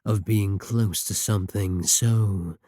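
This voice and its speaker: A man performs as an evil warlock in an intimate audio roleplay, seductive and possessive. The voice is husky and mellow, very close and dry. He teases in slow, deliberate phrases, manipulative and sure of his hold on the listener. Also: fairly low pitch, fairly even intonation, no room sound. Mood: seductive, menacing.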